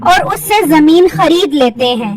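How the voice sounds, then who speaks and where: Very high-pitched woman narrating a story. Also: lively intonation, unhurried, distant mic.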